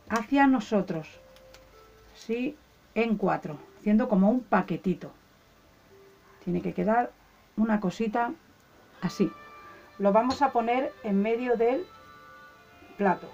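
A woman calmly explains a home ritual step by step, talking to her viewers as friends. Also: fairly high pitch, muffled recording. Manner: calm, instructive, addresses viewers as friends. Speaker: ritual channel host. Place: indoors at a table, hands only in shot.

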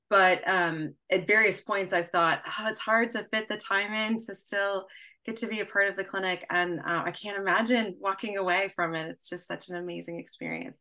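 A middle-aged woman moderating a panel over a video call from a room at home, talking warmly with many fillers and pauses. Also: on the low side. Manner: warm, hesitant with many fillers. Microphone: laptop webcam on video call.

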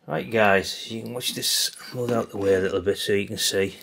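A man's voice: on the low side, fairly even intonation, moderate pace, creaky, fairly bright tone. A man, hosting a casual hands-on radio demo at a workbench, chatty and rambling with lots of fillers. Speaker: radio hobby channel host. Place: indoor workbench room.

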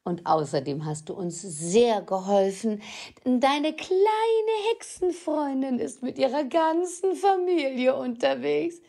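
A woman playing a wicked, domineering old witch grandmother in an audio drama, with a taunting, drawn-out delivery. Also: high voice, animated, dark tone, heavily acted. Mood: stern, menacing. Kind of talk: German audio drama acting.

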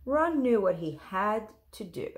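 A woman in her thirties reading a picture book aloud, expressive, deliberate and dramatic, like a teacher. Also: mid-range voice. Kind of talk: expressive storybook read-aloud. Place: small room at home. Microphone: camera or phone at close range.

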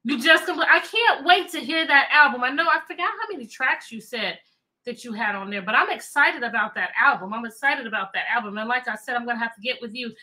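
A middle-aged American woman hosting a chatty, interactive livestream for children, animated and repeating phrases and questions to draw listeners in. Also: on the high side, brisk, poor audio. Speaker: teacher, children's read-aloud host. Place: indoor room with bookshelves.

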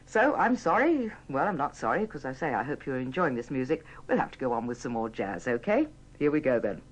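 A man's voice: mid-range voice, big pitch swings, brisk. A man working as a radio continuity announcer, ad-libbing a polite, rambling apology live on air. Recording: dull band-limited old radio broadcast.

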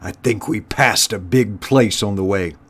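A man's voice: lowish voice, a little slow, a bit bright, husky. A man voicing a brutal, contemptuous one-eyed rat warlord from a storybook, bellowing and snarling his commands. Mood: barking orders. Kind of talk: storybook character voice.

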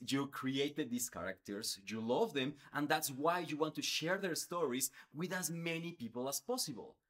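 Animated man, lively pitch. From Mexico. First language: Spanish. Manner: earnest, animated, gestures a lot with his hands. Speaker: YouTuber. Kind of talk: direct-to-camera explainer. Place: indoors, in front of a space backdrop.

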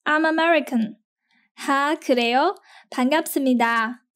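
High-pitched woman reader, extremely slow, evenly spaced lesson delivery. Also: a bit bright. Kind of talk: slow reading of phrases and translations.

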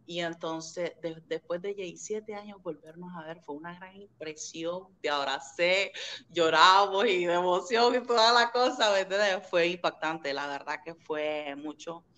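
A middle-aged woman from Nicaragua telling family stories in a casual interview over a webcam, animated and laughing often. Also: fairly low pitch, measured pace. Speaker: guest, interviewer's sister. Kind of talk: casual video-call interview. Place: home room indoors. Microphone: video call webcam.

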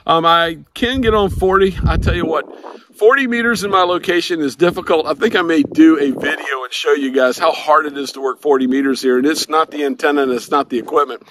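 A man's voice: a little high, a little fast. An older American man talking casually as he hosts an outdoor hobby vlog. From United States. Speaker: amateur radio operator and video host. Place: outdoors in a backyard garden. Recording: outdoor recording with clear background noise.

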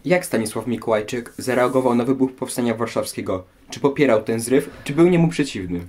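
A man's voice: mid-range voice, brisk, background noise. A man presenting from a script as a host who asks questions about history.

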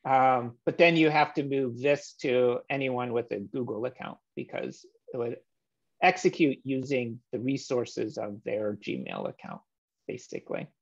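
A man in his thirties walking through code on a shared screen, relaxed and explanatory with frequent "um" fillers, heard over a video call. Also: mid-range voice, measured pace, creaky. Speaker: meetup presenter. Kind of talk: screen-share coding walkthrough. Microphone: webcam or laptop mic on a video call. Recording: slightly dull video-call audio.

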